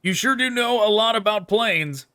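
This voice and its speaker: A man's voice: high voice, lively intonation, moderate pace, bright, forceful tone. A man giving casual, rambling gameplay commentary as a video game streamer. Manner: rambling, musing.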